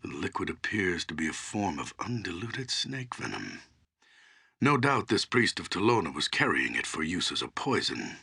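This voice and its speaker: An actor playing an elderly, humble and wise archdruid in a fantasy game, speaking gravely in a measured, formal, theatrical way with a gravelly, husky voice. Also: male voice, deep voice, sing-song, pushed and bright, full character voice. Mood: pondering, grave.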